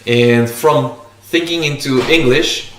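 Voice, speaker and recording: A man's voice: mid-range voice, takes it slowly, very noisy. A man in his thirties, a native Macedonian speaker, chatting casually as host of a home coffee livestream, thinking aloud with many fillers and restarts. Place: room in a home. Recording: echoey room.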